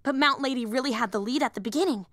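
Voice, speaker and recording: A woman's voice: sits high, quick pace, a bit bright, no echo, clean studio sound. A woman voicing a young, nerdy female hero fan and teacher in an audio roleplay, eager, rambling and easily flustered. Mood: earnest, rambling.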